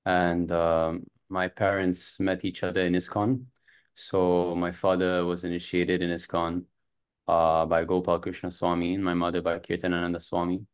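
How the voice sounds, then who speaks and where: A man's voice: sits low, completely flat delivery, normal speed, smooth. A man in his thirties from Canada, a native French speaker, telling his personal story as an interview guest. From Montreal, Canada. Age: 30s. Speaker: podcast guest.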